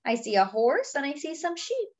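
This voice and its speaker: A woman's voice: high-pitched, sing-song, moderate pace, darkish tone, highs cut off. A woman reading a picture book aloud to young children, in simple phrases as a children's storyteller.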